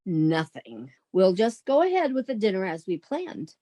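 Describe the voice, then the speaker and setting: A woman plays a level-headed young woman in a vintage radio comedy. Her medium-pitched voice is brisk and managing, recorded dry and close. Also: wildly swooping pitch, dark tone, no echo. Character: sensible, exasperated young woman, Irma's roommate Jane. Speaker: amateur theatre performer. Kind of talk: 1940s radio sitcom acting.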